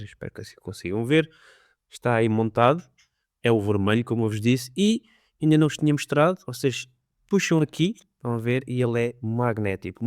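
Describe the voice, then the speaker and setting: A man's voice: mid-range voice, smooth, dry recording, clean studio sound. A man with a European Portuguese accent, chatting casually to his viewers as he reviews gear and rides a motorcycle. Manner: chatty, addresses viewers directly. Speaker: motovlogger. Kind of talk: casual product review vlog. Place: riding a motorcycle outdoors on the road. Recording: loud wind and road noise.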